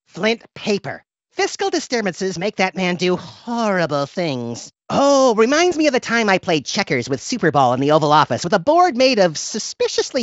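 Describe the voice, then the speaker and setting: A manic little cartoon rabbit who delights in mayhem. The voice sits high and swoops wildly from impish glee to deadpan mock innocence. It is recorded dry, as slightly muffled video game dialogue. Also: male voice, no room sound, exaggerated voice. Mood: mock ominous. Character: hyperactive, gleefully violent little cartoon rabbit vigilante.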